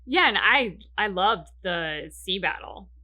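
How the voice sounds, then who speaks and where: A woman's voice: medium pitch, lively intonation, slow pace, muffled recording. A woman co-hosting a reaction video, chatting casually about what she watches. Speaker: co-host of game cutscene reaction videos.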